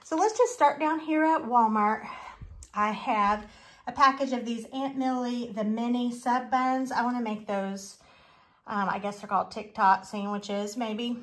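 A woman with a somewhat high voice chats casually as she films at home in her kitchen. Her delivery is informal and folksy, wandering into little asides as she goes through items. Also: steady tone, measured pace. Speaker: vlogger. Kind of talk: chatty grocery haul narration.

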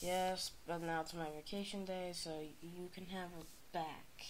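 Boastful male dinosaur king, plush toy, slow, defensive, played by a woman, phone camera, faint hiss. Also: lowish voice, level tone, natural voice. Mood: offhand. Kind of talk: toy play-acting. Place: carpeted room indoors. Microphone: phone camera near the floor.

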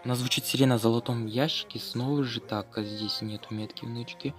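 A man's voice: medium pitch, fairly even intonation, a little fast, soft background music. A man giving casual, slangy running commentary on his gameplay for viewers, as host of a gaming video.

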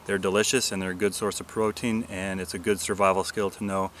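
A man's voice: deep voice, level tone, brisk, a bit bright, slight background noise. A man in his forties explaining outdoor survival cooking in a plain, matter-of-fact way with frequent filler words. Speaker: bushcraft video host. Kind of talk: outdoor how-to narration. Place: outdoors in a grassy park.

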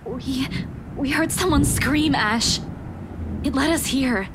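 A woman's voice: high-pitched, takes it slowly, very noisy. An actress playing an anxious, chiding young woman in a visual novel game. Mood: alarmed, hesitant. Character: worried, scolding young woman named Isabella.

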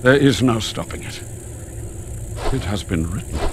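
An older man voicing an ancient, wise dragon keeper of books in a video game, speaking gravely and theatrically with drawn-out pauses. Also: fairly low pitch, lively intonation, background noise. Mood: solemn, resigned. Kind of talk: video game cutscene acting, solemn storytelling. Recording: loud music behind the voice. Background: loud cinematic game music.

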